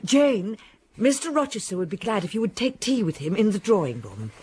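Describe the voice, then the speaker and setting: A fussing housekeeper. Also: female voice, medium pitch, huge pitch swings, a little fast, darkish tone, husky, heavily acted. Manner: bustling, fussy. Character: kindly, fussing elderly housekeeper. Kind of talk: radio drama acting.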